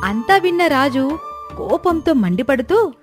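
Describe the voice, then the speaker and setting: A woman narrating a scripted moral story in an expressive storytelling style. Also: high voice, a little slow. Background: background music.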